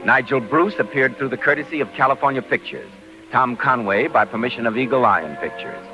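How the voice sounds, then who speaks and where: Vintage announcer: man, mid-pitched, scripted delivery over clear music. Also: a little fast. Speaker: radio announcer. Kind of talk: scripted 1940s radio announcing. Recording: dull vintage radio recording, music behind.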